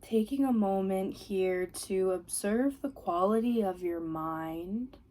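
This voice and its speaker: Slow, mellow woman guiding meditation. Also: medium pitch. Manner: soothing, unhurried, gentle instruction. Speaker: meditation and yoga teacher. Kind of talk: guided body scan meditation.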